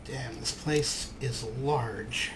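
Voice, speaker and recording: A man's voice: medium pitch, very slow. A man hosting a let's play with casual gameplay commentary. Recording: clear background noise from game.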